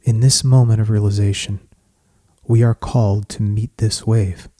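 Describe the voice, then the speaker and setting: A man leading a guided meditation in scripted poetic narration, speaking in a measured, soothing, solemn manner with long pauses. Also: on the low side, fairly even intonation, dark tone, dry recording, clean studio sound.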